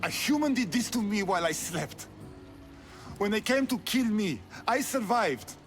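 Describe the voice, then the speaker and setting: An actor playing a cold, formal, embittered noble of an ancient race who despises humans, in a video game drama. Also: male voice, high voice, lively intonation, normal speed, fairly bright tone, faint music behind. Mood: bitter.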